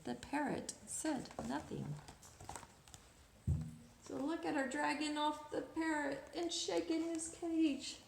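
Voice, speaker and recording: A woman's voice: high voice, lively intonation, takes it slowly, vocal fry, a little hiss or hum. A woman reading a picture book aloud to children expressively, drawing out words and putting questions to her listeners. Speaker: storyteller, children's read-aloud host. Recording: echoey room.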